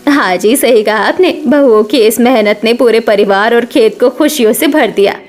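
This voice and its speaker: A woman voicing a warm, hospitable village housewife in a cartoon family story. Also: a little high, normal speed, lightly acted. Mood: grateful.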